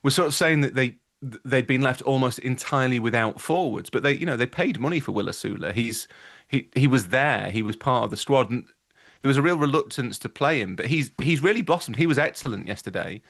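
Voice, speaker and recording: A man chatting about football as a panel pundit. He talks quickly in a mid-pitched voice. His delivery is conversational and musing, with frequent qualifications. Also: lively intonation, fairly bright tone.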